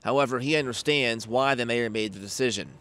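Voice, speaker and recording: A man narrating a local TV news report in an even, measured newsreader delivery. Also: medium pitch.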